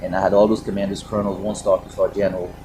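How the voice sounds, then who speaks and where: Man, Haitian accent, rapid emphatic speech, rhetorical questions, background noise on a video call. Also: sits low, flat delivery. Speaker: panelist, Air Force operations director. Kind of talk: virtual panel discussion.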